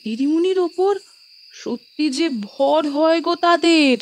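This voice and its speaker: Elderly female servant, high pitch, unhurried delivery, anxious, grumbling, superstitious, horror radio play. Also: animated. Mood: fearful. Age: older. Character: elderly Bengali maidservant, fretful, grumbling, devoted, superstitious. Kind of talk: audio horror drama acting.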